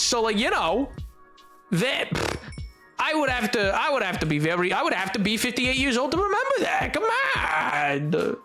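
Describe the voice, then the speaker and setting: A man's voice: extremely high pitch, sharp tone. A man streaming and chatting casually with viewers. He talks playfully, rambling with lots of fillers and restarts. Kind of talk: casual live-stream chat, answering viewers.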